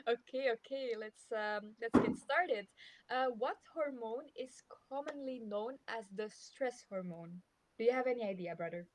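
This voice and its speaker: A woman hosts a casual live radio chat, her voice a little high. She is cheerful and polite, and she laughs easily between questions. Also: measured pace, slight background noise, poor audio. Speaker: radio program host.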